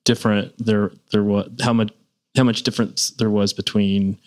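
Male host, lowish and smooth, encouraging, nods along out loud. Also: little pitch movement, normal speed. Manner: encouraging, frequent back-channel agreement. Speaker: podcast host. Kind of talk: casual interview conversation.

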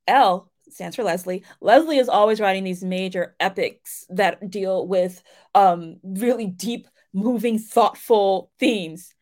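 Middle-aged female instructor, mid-range voice, informal and self-deprecating, walking viewers through a lesson. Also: mid-range voice. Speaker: writing instructor and romance novelist. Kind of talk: informal explanatory video lesson.

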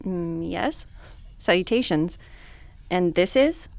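A woman playing an officious, weary space station commander hosting a broadcast in an audio drama, speaking in a formal, measured, bureaucratic way. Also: fairly low pitch, animated, dry recording. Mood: patient, formal. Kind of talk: audio drama acting, broadcast host address.

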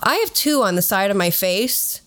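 A woman's voice: medium pitch, expressive, moderate pace, a bit bright. A woman hosting a podcast, telling stories in a casual, conversational way with a sardonic edge and some swearing. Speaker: podcast host.

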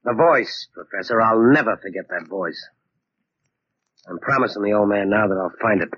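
An actor playing a tough, impatient, wisecracking investigator with a husky voice in a 1940s radio drama. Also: male voice, lowish voice, normal speed. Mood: determined. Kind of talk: 1940s hard-boiled radio drama acting. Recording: dull 1940s radio recording.

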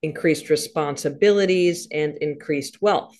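A woman hosting an interview, warmly encouraging and enthusiastic, often laughing and affirming her guest in casual chat. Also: low-pitched, unhurried. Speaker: podcast host.

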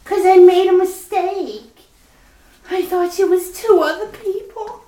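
A woman's voice: very high voice, unhurried, dark tone. A woman playing a tough but lovesick young gun moll in a retro radio play, with slangy talk that breaks into sobbing. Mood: brassy. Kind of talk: 1940s radio acting. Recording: roomy echo from far off the mic.

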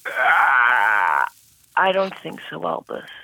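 A woman's voice: rumbling low, wildly swooping pitch, takes it slowly, sharp tone, no echo, full character voice, poor audio. A woman playing a weary, resentful ancient phoenix familiar in a comedy sketch, aggrieved and plaintive. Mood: refusing. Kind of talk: improvised comedy audio sketch.

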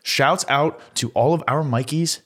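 A man's voice: on the high side, measured pace, fairly bright tone. A man co-hosting a comedy podcast, talking in casual, slangy, joking banter.

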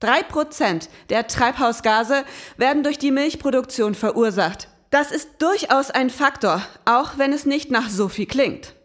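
An actress playing a prim young investigator in an audio drama, speaking in precise, formal, matter-of-fact sentences. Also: female voice, high voice, unhurried. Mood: pedantic, firm. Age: young adult. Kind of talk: scripted audio drama acting and narration.

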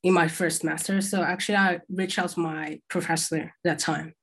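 A woman's voice: lowish voice, fairly even intonation, normal speed, a bit bright, breathy edge. A young woman from China, an alumni panellist, sharing her experience in a chatty way with frequent "like" fillers on a video call. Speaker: alumni panellist, former international student. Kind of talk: panel discussion answer.

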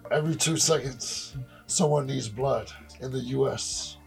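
Gravelly man reading slowly. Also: medium pitch, faint music behind. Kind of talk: scripted narration, reading a biography aloud.

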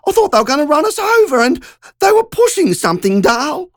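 Brief: narrator putting on a country woman, high and breathless, words rushing in a lively sing-song. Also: dry recording, studio quality. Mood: frightened. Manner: breathless, urgent storytelling. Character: shaken older country woman telling a story.

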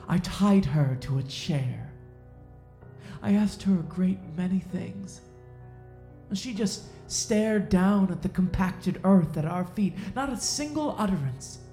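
A woman playing a self-righteous, coldly predatory male Puritan sheriff in an audio drama, deliberate and brooding, with long pauses. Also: fairly low pitch, expressive, mellow. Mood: cold, confiding. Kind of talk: audio drama acting, slow dramatic monologue. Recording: roomy echo under music.

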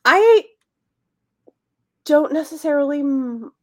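A woman's voice: sits high, expressive, slow pace, a little mellow. A woman co-hosting a podcast, discussing books casually in a tentative, meandering, conversational way. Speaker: podcast co-host.

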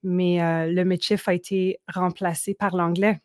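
A woman's voice: on the low side, little pitch movement, a little slow, dry recording, studio quality. A woman, a guest musician in an interview, speaking thoughtfully with frequent restarts. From Manitoba, Canada. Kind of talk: interview conversation.

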